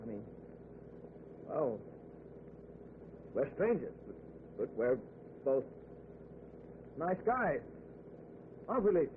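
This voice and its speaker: Edgy, stammering motorist who tries to appease, swinging pitch, vintage radio drama on a thin noisy recording. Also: male voice, very animated, slow pace, pauses often, narrow, tinny audio. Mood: nervous, placating. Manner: hesitant, stammering, anxiously placating. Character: nervous, shifty male motorist trying to appease. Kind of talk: old-time radio thriller acting.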